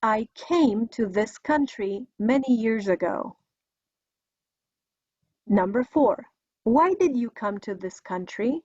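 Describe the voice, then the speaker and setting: A woman's voice: fairly high pitch, lively intonation, slow pace, pauses often, a little mellow, no echo. A middle-aged woman with a Polish accent giving an English language lesson, enunciating carefully in an instructional way. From Poland. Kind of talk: scripted video lesson with listen-and-repeat drills. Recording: dry, slightly dull lo-fi recording.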